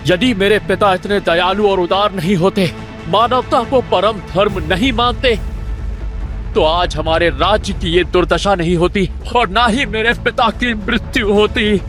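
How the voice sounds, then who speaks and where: A man's voice: high-pitched, unhurried, a bit bright, faint music behind. A man playing an innocent, anguished young prince in a fantasy audio drama, with impassioned, emotional delivery. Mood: bitter, vengeful.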